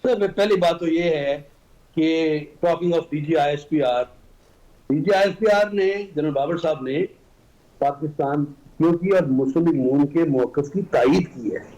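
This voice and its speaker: A man's voice: a little high, measured pace, dark tone, lo-fi sound. A middle-aged man with a Pakistani accent, a politician arguing his party's position in a panel debate. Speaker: senator and party guest.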